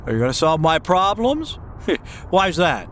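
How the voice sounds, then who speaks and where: A man's voice: a little high, very animated, unhurried, slight background noise. A man playing a troubled, bewildered small-town family man in an old-time radio drama, with a hesitant, stammering delivery. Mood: puzzled.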